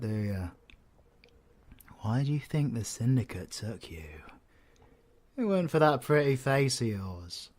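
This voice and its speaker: A man playing a British male supervillain in an audio roleplay, cocky and menacing. He talks slowly in a lowish voice, teasing and taunting with slangy curses and flirty endearments. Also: a little mellow. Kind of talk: audio roleplay, villain ASMR acting.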